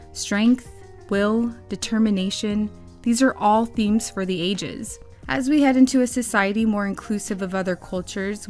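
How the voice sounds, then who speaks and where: A woman reading scripted documentary narration in a measured way. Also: medium pitch.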